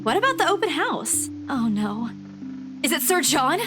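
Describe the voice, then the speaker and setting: A woman's voice: high-pitched, sing-song, normal speed, music in the background. An actress voicing an anxious, dutiful young working woman in an earnest, emotive visual novel performance. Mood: panicked.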